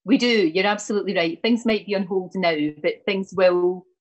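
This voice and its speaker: A Scottish woman hosting a morning TV interview, effusive and quick to repeat her words. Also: mid-range voice, steady tone. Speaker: morning TV host. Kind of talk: TV interview chat.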